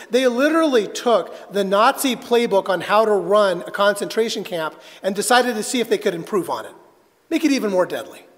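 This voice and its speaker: A man preaching a sermon as a pastor, telling a personal story earnestly and haltingly, with dramatic pauses. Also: sits high. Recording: reverberant hall.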